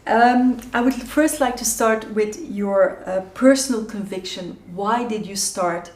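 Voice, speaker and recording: A woman's voice: mid-range voice, unhurried, a little mellow. A woman hosting a podcast interview, asking questions with some hesitant filler words. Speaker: podcast host. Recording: roomy echo.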